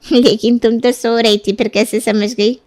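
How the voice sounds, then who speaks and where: A woman voicing a brisk younger mother-in-law who scolds but softens easily. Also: fairly high pitch, level tone, no echo, clean studio sound, close to an everyday voice. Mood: suspicious.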